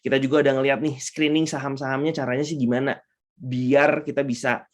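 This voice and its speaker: Male mentor, hoarse and stuffy-nosed, upbeat online lecturer, mid-pitched, video-call mic. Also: flat delivery, brisk. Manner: upbeat, mixes English terms, frequent fillers. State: hoarse and congested. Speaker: trading mentor.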